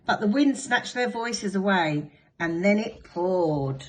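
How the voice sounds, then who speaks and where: A woman's voice: medium pitch, animated, takes it slowly, a little hiss or hum, rough recording. A woman teacher reading a picture book aloud to young children, telling the story expressively and dramatically. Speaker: infant school teacher.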